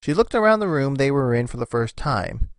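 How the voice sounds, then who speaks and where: Animated male storybook narrator, dry sound. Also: medium pitch, a little fast, no room sound. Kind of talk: expressive scripted story narration.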